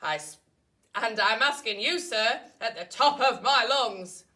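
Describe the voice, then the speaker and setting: A woman voicing a small, old, bossy forest creature with a sharp, indignant voice in a children's storybook. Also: fairly high pitch, expressive, measured pace. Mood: bossy, pleading. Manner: bossy, emphatic, declamatory. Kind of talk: children's storybook read aloud.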